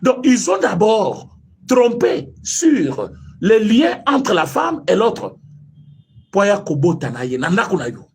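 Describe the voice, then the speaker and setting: Very husky Congolese man, animated. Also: sits high, takes it slowly. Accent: Congolese French. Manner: emphatic, rhetorical questions, mixes French and Lingala. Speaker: online commentator. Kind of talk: impassioned political commentary.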